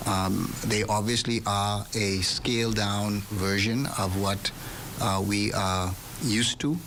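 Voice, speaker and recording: A man speaking as an official, giving a halting public statement full of "uh" fillers. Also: lowish voice, steady tone. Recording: noisy ambient background.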